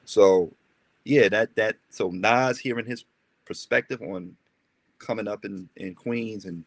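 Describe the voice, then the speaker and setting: Gravelly low-voiced young man rambling. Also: unhurried, no room sound. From Maryland, USA. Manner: rambling, animated storytelling with many fillers. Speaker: interview guest, rapper. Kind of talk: casual interview chat.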